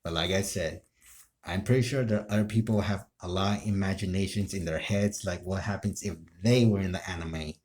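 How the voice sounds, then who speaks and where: Low-voiced man chatting casually. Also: steady tone, a little fast, a little mellow. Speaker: amateur role-play video creator. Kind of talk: casual chat about his role play.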